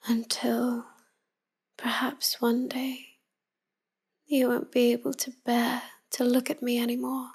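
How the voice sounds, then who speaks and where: A woman voicing a tender, melancholy immortal vampire wife in soft, breathy half-whispers, murmuring endearments in an intimate bedtime audio roleplay. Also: a little high, exaggerated voice. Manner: slow, gentle, murmured endearments. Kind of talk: intimate pillow-talk ASMR roleplay.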